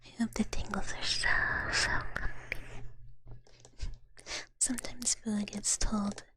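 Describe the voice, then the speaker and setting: A woman whispering softly and breathily close to the mic in a gentle, cutesy way, chatting casually as an ASMR host. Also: medium pitch, level tone, slow. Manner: gentle, cutesy, speaks of herself in third person. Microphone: close ASMR microphone. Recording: clear noise, clicking trigger sounds.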